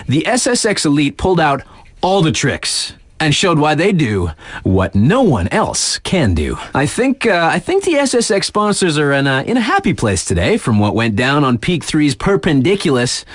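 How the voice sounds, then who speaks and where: An actor playing a hyped but laid-back radio DJ in a snowboarding video game, chatting enthusiastically in casual slang about events. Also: male voice, on the high side, very animated, normal speed, dry recording.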